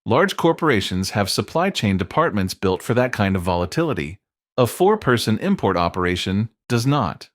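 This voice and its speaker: A man reading scripted explainer narration in a measured, emphatic way, built on short dramatic statements. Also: on the low side, very animated, dry recording, studio quality.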